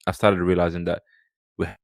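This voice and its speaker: A man's voice: deep voice, little pitch movement, brisk, no echo, studio quality. A man in his thirties from Sudan, a podcast guest, telling childhood stories in a rambling casual way with lots of "you know.". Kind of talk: casual storytelling conversation.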